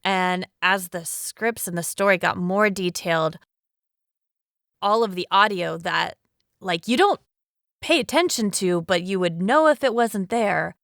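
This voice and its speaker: A woman with a smooth, clear voice at an average pitch chats informally on a podcast. She is talkative and loose in her phrasing, and the recording is dry. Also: expressive, measured pace, a bit bright, no echo. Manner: chatty, frequent "like" and "you know". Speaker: cast member on a podcast panel. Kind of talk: casual chat.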